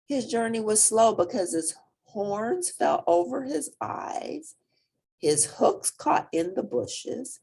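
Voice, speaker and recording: A woman reading a children's picture book aloud as an expressive storyteller, with dramatic emphasis. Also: on the high side, takes it slowly, slightly rough.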